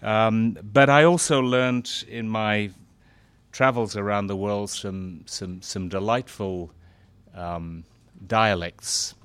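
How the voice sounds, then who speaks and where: Middle-aged guy giving a speech, lowish voice, lots of ums, dry sound. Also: clean tone, dry recording. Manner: halting, many fillers, grows more animated. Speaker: speaker at a gathering, former foreign correspondent. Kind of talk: speech to an audience.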